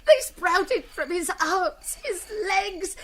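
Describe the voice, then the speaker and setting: A woman's voice: very high voice, lively intonation, slow pace, pushed and bright, exaggerated voice. A woman playing a frightened young woman in an old-time radio adventure, breathless, distraught and melodramatic. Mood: horrified, shaken. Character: frightened young woman searching a jungle for her missing father. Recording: vintage radio recording with faint noise.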